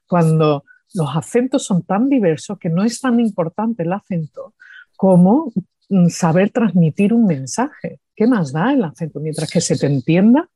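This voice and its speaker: A woman from the United States with an Andalusian Spanish accent, talking as an interview guest in a reflective way, with pauses and tag questions. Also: fairly low pitch, warm tone, husky, no room sound. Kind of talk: conversational interview.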